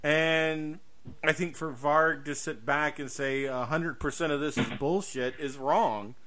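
A man's voice: fairly high pitch, steady tone, unhurried, bright, forceful tone. A man hosting a podcast, giving opinions in casual discussion, emphatic and given to swearing and repeating his points. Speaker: podcast host.

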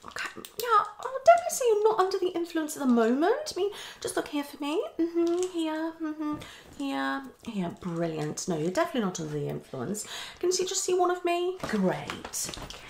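A woman's voice: high voice, sing-song, creaky, slight background noise, pitched above the performer's own voice, exaggerated voice. A young woman playing a hasty, tactless female psychologist in an ASMR roleplay, half whispering in a condescending, hesitant way. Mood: brisk, doubtful. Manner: fast switching, hesitant repetitions, condescending. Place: small living room, indoors.

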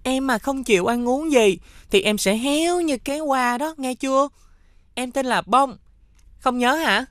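A woman voicing a playful, teasing boy in a children's cartoon, with a lively childlike manner. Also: high voice, unhurried, no echo. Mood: teasing, matter-of-fact.

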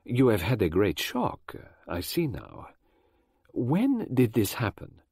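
A precise, fussy elderly detective, as a male reader plays him, slow and formal in his speech. His pitch rises and falls a great deal. The sound is dry, with no room echo. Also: wildly swooping pitch, vocal fry, darkish tone, slightly rough, exaggerated voice. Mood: measured.

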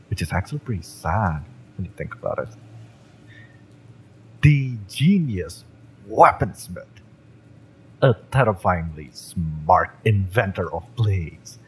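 Male actor for cocky, fiery mercenary in audio roleplay: deep, very slow, taunting and smirking. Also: a little hiss or hum, heavily acted. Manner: smirking, taunting, drawn-out delivery with chuckles. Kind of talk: acted audio roleplay monologue.

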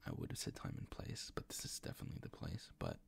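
A man playing a doting, gently dominant boyfriend in an intimate ASMR roleplay, speaking in a soft, coaxing, reassuring way with a breathy, gravelly whisper. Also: fast, lightly acted. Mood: teasing, soothing. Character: doting, gently dominant, teasing boyfriend comforting his partner.

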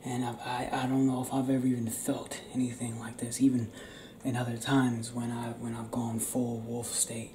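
Young guy doing asmr werewolf boyfriend thing, slow n hushed, halting, echoey room w/ noticeable noise. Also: mid-range voice, monotone. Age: young adult. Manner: hushed, often whispered, halting, intimate. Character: young male werewolf confiding in his partner, restless, tender. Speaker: ASMR roleplay performer. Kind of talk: ASMR roleplay, improvised intimate acting. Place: small indoor room. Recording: roomy echo, clear background noise.

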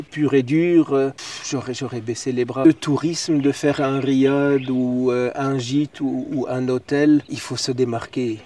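Mellow, hesitant older man, slightly distant. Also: mid-range voice. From Lebanon and Syria. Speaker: interview guest, entrepreneur. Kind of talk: conversational storytelling. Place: outdoors in a garden. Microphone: clip-on wireless lapel mic.

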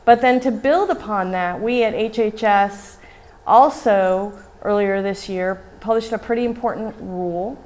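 A middle-aged woman giving a conference keynote at a podium microphone in a hotel hall, animated and often asking "right?". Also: mid-range voice, measured pace. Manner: animated, gestures widely, asks rhetorical "right?". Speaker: keynote speaker.

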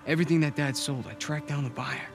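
A man's voice: fairly high pitch, fairly even intonation, fast talker, vocal fry. A man playing a protective, streetwise older brother in a video game cutscene, talking casually and persuasively, sometimes hesitant. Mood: conspiratorial. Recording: music behind the dialogue.

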